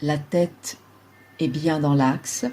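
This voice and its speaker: Female yoga nidra guide. Low, soft-edged husky voice, unhurried calm delivery of measured meditation instructions. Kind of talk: slow guided relaxation meditation.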